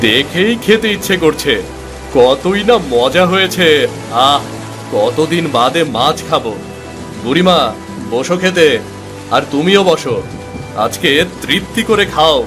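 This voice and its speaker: Man voicing good-hearted poor village husband, fairly high voice, eager, earnest, cartoon folk tale, music clearly behind. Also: lively intonation, unhurried, pauses often. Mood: eager, hungry.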